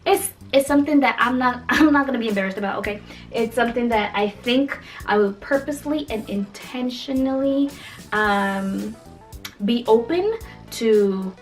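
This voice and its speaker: A 29-year-old woman from Kenya chatting casually as a vlogger, in a playful, emphatic and animated conversational way. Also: a little high.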